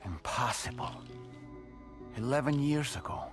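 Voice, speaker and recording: A man playing a serious, thoughtful investigator in a video game cutscene, speaking deliberately and articulately. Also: mid-range voice, expressive, over music, natural voice. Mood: astonished.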